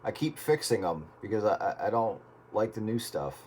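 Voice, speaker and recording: A man's voice: fairly low pitch, sing-song, normal speed, background noise. A man chatting casually on the radio in a folksy, plain-spoken way. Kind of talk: casual chat over radio.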